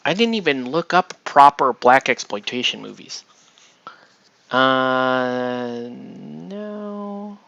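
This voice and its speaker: A man with a gravelly, fry-edged voice chats loosely on a livestream as he paints miniatures. He rambles and cuts himself off. The sound is dry and a bit dull. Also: mid-range voice, slow, long pauses, no room sound. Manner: rambling, excitable, self-interrupting with laughs. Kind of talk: casual livestream chat while painting.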